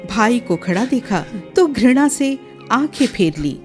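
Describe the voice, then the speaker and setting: A woman narrating a radio drama as a scripted story, in a measured and expressive way. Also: fairly high pitch, faint music behind.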